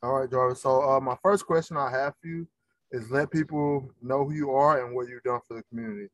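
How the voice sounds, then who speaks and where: A man's voice: mid-range voice, fairly quick, no room sound. Guy hosting a podcast, easygoing questions, medium voice, dry close sound. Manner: relaxed, informal questions. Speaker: podcast host. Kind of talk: casual interview.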